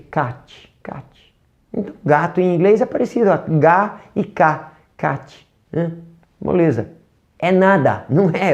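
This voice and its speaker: Lively Brazilian English teacher, dull recording. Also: mid-range voice. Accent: Brazilian Portuguese. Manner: animated, asks rhetorical questions, demonstrates sounds. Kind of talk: online language lesson.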